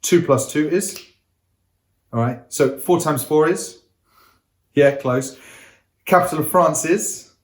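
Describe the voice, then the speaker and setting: A man giving an energetic, interactive lesson, coaxing listeners to join in and speaking to them directly. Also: fairly high pitch, slow pace, long pauses. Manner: upbeat, coaxing, addresses viewers directly. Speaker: teacher. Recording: roomy echo.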